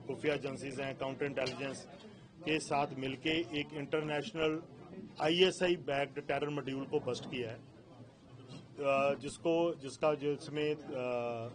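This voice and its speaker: A man with a Punjabi accent speaking as a senior police officer at a press briefing, explaining things haltingly. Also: medium pitch. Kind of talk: press briefing in Hindi mixed with English.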